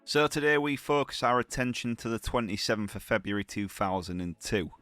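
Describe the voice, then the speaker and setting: A man's voice: mid-range voice, expressive, fairly quick, a bit bright, dry recording, faint music behind. A man with a heavy cold, talking through his gameplay in a chatty, upbeat way, full of slang. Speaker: gaming channel host. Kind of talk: gameplay commentary.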